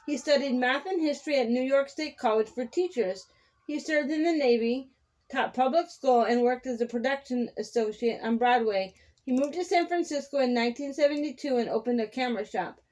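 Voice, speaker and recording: High-voiced woman hosting children's storytime. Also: brisk, darkish tone, low-quality recording.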